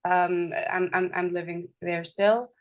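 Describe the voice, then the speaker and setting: A woman's voice: fairly low pitch, little pitch movement, a little slow. A young woman from Kosovo, a film director, talking in an interview with many hesitations and restarts. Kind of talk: festival interview.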